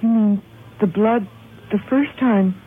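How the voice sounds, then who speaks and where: A woman's voice: medium pitch, takes it slowly, close to an everyday voice. An actress playing an anxious, frank young woman in a radio horror drama, talking in a natural, questioning way. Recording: lo-fi, noisy old radio broadcast.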